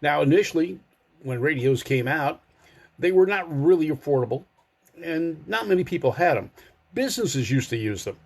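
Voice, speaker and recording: A man's voice: a little high, lively intonation, normal speed, vocal fry. An older man hosting a car enthusiast show, talking casually and explaining things in a folksy way with wry asides. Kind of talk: casual informative monologue to a live audience online.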